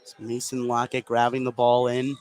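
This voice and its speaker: A man's voice: mid-range voice, completely flat delivery, normal speed, a little mellow. An American man doing live play-by-play commentary on a basketball game. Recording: clear gym noise behind voice. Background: sports venue ambience.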